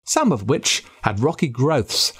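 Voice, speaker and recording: Lively Australian man reading a spooky story. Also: mid-range voice, unhurried, slightly rough. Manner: expressive, dramatic telling of a spooky tale. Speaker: audiobook narrator. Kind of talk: first-person storybook narration.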